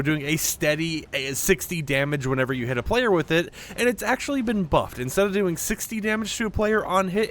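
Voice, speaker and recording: A young man with a slightly high voice and a sore throat keeps his volume down while he explains a game patch. He talks in a loose, rambling way, full of fillers, into a close microphone. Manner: talkative, rambling with many fillers. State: sore throat, speaking quieter. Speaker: gaming news YouTuber. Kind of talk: casual game update commentary.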